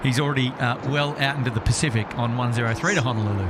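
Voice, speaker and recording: A man's voice: medium pitch, racing. An Australian man hosting a live plane-spotting stream with casual, jovial, chatty commentary and banter. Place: outdoors near an airport. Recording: outdoor airport ambience, clear vehicle noise.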